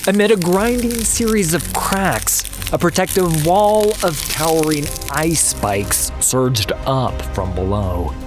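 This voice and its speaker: Male narrator for dramatic fantasy tale: unhurried, lowish pitch, scripted read over clear music. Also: animated.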